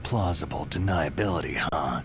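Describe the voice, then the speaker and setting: An actor playing a cynical, battle-hardened soldier in a video game, gravelly and terse, with a suspicious, sardonic edge. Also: male voice, very deep voice, normal speed, noisy background.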